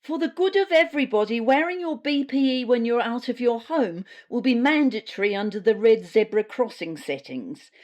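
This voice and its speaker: A woman's voice: a little high, a little mellow, voice pushed up, natural voice. A woman with a New Zealand accent voicing a bossy, officious female cat who speechifies earnestly and pompously in a comedy story. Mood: preachy.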